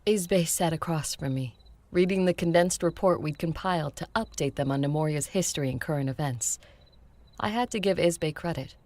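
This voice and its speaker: A woman playing an ancient vampire who narrates in the first person, composed and measured, for an audio drama. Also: deep voice, a little mellow, lightly acted. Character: ancient female vampire recounting events, composed.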